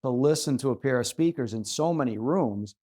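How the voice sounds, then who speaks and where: Male host, relaxed mellow tone, mid pitch, articulate and conversational explainer. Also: a little fast. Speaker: host and audio reviewer. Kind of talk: conversational explanation of audio setup tips.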